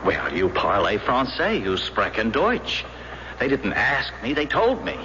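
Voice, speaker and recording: An actor playing a frightened American escaped prisoner of war in an old radio drama, speaking nervously with halting stammers. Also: male voice, mid-range voice, huge pitch swings. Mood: bitter, afraid. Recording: muffled radio drama tape with loud background noise.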